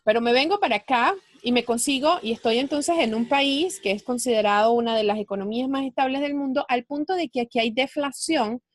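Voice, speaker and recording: A woman's voice: a little high, a little fast, hardly any pauses, no room sound, studio quality. Woman, reflective explainer. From Venezuela. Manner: explanatory, reflective, thinks aloud. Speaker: guest, finance speaker. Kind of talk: conversational interview.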